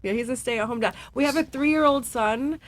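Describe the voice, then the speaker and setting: A woman's voice: fairly high pitch, moderate pace. Brash, swearing woman in her forties. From New York. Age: 40s. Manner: brash, boastful, swears casually. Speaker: podcast guest. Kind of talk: casual panel chat.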